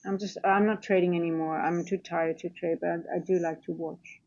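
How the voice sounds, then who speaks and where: A woman's voice: fairly low pitch, fairly even intonation, a little fast, darkish tone, dull audio. A tired woman from the Netherlands with a Dutch accent, chatting casually through a live trading stream and answering viewers.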